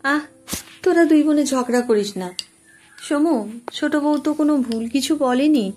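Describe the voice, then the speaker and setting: A woman's voice: sits high, measured pace, darkish tone, faint music behind. A woman voicing a concerned, motherly middle-aged housewife in an audio story. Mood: reasoning, chiding. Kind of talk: Bengali audio story acting.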